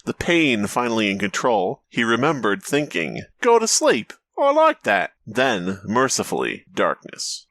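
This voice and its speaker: A man's voice: medium pitch, huge pitch swings, measured pace, few pauses, a bit bright, no echo. A man co-hosting a comedy podcast, reading a story aloud with dramatic turns and joking between lines. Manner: reads dramatically, breaks into laughter and jokes. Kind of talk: casual comedic reading and chat.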